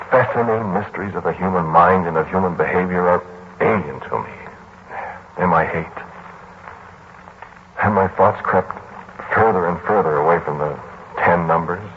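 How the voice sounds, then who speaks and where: Radio play lead: man playing brooding mathematician narrator, very deep, hesitant and ruminative, on a dulled vintage broadcast. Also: natural voice. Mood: troubled, haunted. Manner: hesitant, ruminative, theatrical pauses. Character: brooding male mathematician lodger recounting a haunting mystery. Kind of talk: 1940s radio drama narration. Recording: muffled, noisy 1940s radio broadcast.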